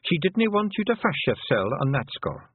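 A man voicing a tiny, proud fairy woman with a Scottish accent, speaking in a high, lilting, measured way. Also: sing-song, breathy edge, dry recording. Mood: solemn. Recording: tinny, phone-like lo-fi audio.

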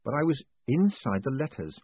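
A man's voice: medium pitch, big pitch swings, moderate pace, husky, no echo. A man voicing a shaken, protesting con-man in a fantasy audiobook, urgent, faltering and exasperated. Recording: muffled phone-like audio.

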